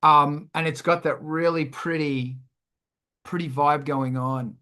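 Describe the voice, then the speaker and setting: A man's voice: on the high side, slow, clear, resonant tone. A man casually teaching music production as a show host, with a sweary, irreverent manner. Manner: sweary, irreverent, animated explaining.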